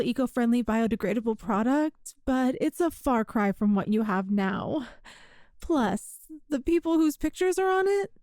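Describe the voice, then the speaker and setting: A woman's voice: sits high, warm tone, dry recording, studio quality. A woman playing a flustered, apologetic, grateful time traveler from the future in a one-sided ASMR roleplay, hesitant and earnest as she talks to the listener. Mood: sheepish, rueful. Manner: hesitant, earnest, self-deprecating.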